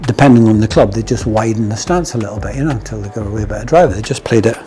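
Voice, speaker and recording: Chatty Scottish golf coach, blunt and jokey. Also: male voice, fairly low pitch, very fast, dark tone, soft background music. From Scotland. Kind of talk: casual instructional talk.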